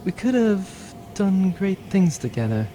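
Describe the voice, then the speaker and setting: A man's voice: high-pitched, big pitch swings, measured pace, mellow, a little hiss or hum, voice pushed down. A man voicing a cool, formal lady space-pirate captain, scheming and wistful, in a comic audio drama. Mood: resigned, wistful.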